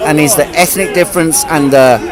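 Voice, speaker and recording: A man hosting a livestream, interviewing people in the street, brisk and friendly with short acknowledgements. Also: a little high. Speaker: livestream host. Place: busy outdoor public park. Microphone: phone livestream. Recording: loud crowd outdoors.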